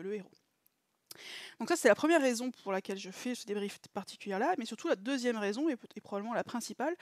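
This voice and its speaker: A woman talks viewers through her game results in a relaxed, chatty way. She often stops to hesitate and fill the gaps before going on. Her voice sits at an ordinary pitch, and the recording is dry and close. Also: no room sound. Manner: chatty, hesitant, many filler pauses. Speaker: mobile game channel host. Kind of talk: casual gameplay debrief to viewers.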